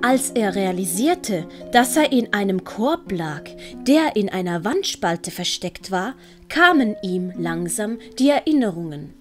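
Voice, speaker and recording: A woman with a Swiss German accent narrating a storybook in a gentle, measured way. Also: mid-range voice, sing-song, soft background music. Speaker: narrator and author.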